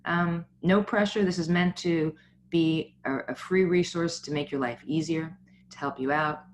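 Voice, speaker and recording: A woman's voice: deep voice, fairly even intonation, normal speed, slight background noise. A middle-aged woman hosting an informal livestream talk, rambling and jumping from one point to the next. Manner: rambling, digressing, frequently jumping between points. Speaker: founder and host of a business network talk.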